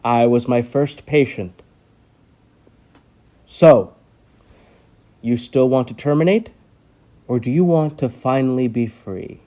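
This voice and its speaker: An actor as a menacing doctor in a horror audio play, a man with a middling pitch. He is cold, controlling and condescending, speaking deliberately and imperiously, as if every word were an order. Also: warm tone, close to an everyday voice. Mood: coldly persuasive.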